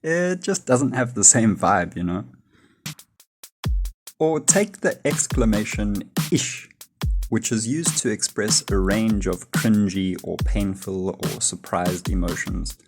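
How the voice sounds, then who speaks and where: Gravelly, slow South African man. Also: fairly low pitch, animated. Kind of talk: comedic scripted narration. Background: music.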